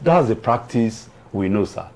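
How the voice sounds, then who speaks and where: A man's voice: on the low side, lively intonation, measured pace, darkish tone. A man in his sixties with a Nigerian accent, talking as a television panelist, repeating himself for emphasis and storytelling. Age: 60s. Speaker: panelist on a TV talk show. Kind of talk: animated opinion and anecdote in conversation.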